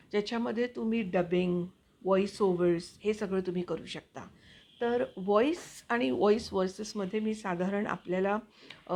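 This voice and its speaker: A woman hosting her own channel, chatting to viewers in a casual, explanatory way with hesitant pauses as she finds her words. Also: medium pitch. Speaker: actor and video channel host.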